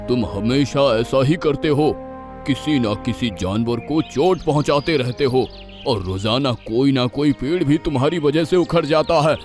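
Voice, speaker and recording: Righteous jungle elder, cartoon, male actor: mid-pitched, stern and reproachful, faint music behind. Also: a little slow, darkish tone, natural voice. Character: grave, righteous big animal, lion king or elephant. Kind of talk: Hindi cartoon story voice acting.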